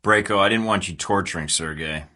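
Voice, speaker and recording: Video game spy lead: low voice, dry wit, terse and sardonic. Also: male voice, a little fast, sharp tone. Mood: cool. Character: cocky male secret agent, dry and sardonic. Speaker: game character.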